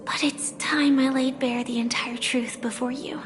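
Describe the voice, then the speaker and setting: An actress voicing a gentle young woman who haltingly confesses a hidden identity in a video game, in a soft, earnest way. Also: high voice, little pitch movement, natural voice. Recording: game audio with reverb and music.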